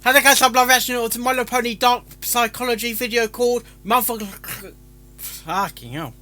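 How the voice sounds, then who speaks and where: Man, bright forceful voice, casual reaction streamer, stumbling and self-interrupting, faint music behind. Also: very high voice, moderate pace. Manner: stumbling over words, self-interrupting, swearing. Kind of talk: casual live-stream chat with rehearsed intros.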